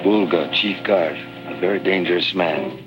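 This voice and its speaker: An actor playing a wary, reluctant informer in a radio drama, hesitant and grave. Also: male voice, low voice, moderate pace, highs cut off, over loud music, natural voice. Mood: grave, low. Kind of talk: 1950s radio drama acting. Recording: noisy old radio recording.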